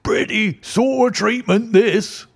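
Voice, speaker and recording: A man reading an audiobook, voicing a petulant, obstinate very old man with a high, quavering, drawling voice. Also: takes it slowly, creaky, breathy edge, heavily acted. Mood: indignant.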